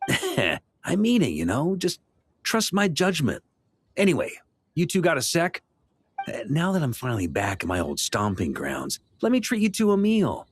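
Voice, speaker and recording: A man's voice: fairly high pitch, huge pitch swings, unhurried, husky, exaggerated voice. A man playing a jovial, easygoing knight commander in an anime game, hearty, informal and teasing. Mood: friendly.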